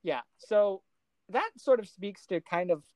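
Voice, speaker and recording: A podcast co-host talking casually about films in an animated, exasperated way, with some mild swearing. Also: medium pitch, measured pace, dry recording. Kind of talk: casual film discussion.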